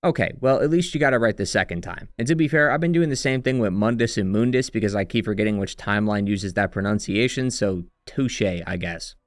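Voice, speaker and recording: A man's voice: lowish voice, a little fast, few pauses, no echo, studio quality. A man narrating a scripted retrospective as a video essayist, with sardonic, wry commentary. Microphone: close, dry voice-over mic.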